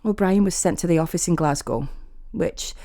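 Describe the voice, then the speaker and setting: Woman narrating with a mellow, dry sound. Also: fairly low pitch, moderate pace, no echo. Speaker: story narrator. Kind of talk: scripted first-person fantasy horror narration.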